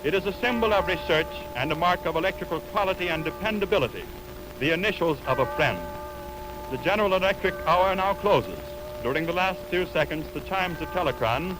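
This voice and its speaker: A man's voice: on the high side, rough recording. A man announcing formally from a script in 1920s radio style, on an old warped film recording of a broadcast.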